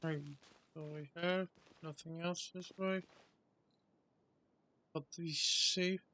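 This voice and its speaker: A man's voice: high voice, steady tone, slow pace, pushed and bright, slight background noise. Casual male gamer with a lightish voice. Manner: offhand, thinking aloud in short remarks. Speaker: gamer playing through a story quest. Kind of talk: casual gameplay commentary. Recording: game music behind. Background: game music.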